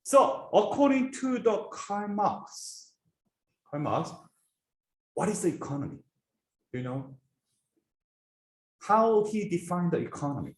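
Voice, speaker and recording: Lively Korean-accented man lecturing a class. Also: high-pitched, slow, long pauses. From South Korea. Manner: quizzes students with repeated rhetorical questions. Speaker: business professor. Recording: echoey classroom from far off.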